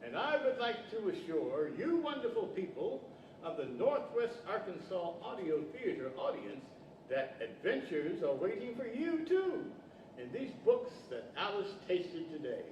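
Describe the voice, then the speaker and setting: Casting: older man as courtly storybook wizard-professor, theatrical, high-pitched, in an echoey hall. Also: sing-song, measured pace, a little mellow. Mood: kindly, instructive. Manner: formal, theatrical. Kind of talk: 1940s radio-style stage acting. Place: large library event room, standing microphone. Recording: distant, dull, echoey hall with hiss.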